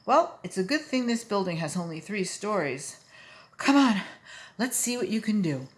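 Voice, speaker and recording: A woman's voice: medium pitch, big pitch swings, moderate pace, natural voice. A woman voicing a mild, good-natured married man in a children's storybook, earnest and polite. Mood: emphatic, good-natured.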